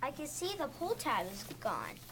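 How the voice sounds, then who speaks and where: A woman's voice: high voice, big pitch swings, moderate pace. A female reader playing a kindly, reassuring male school custodian in readers theatre. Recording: slightly noisy room.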